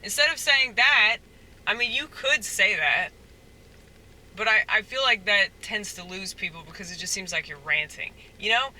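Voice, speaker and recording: A man rambling in a soft-spoken, halting way, full of fillers, as a stand-up comedian musing aloud. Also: high-pitched, animated, poor audio. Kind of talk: rambling casual monologue.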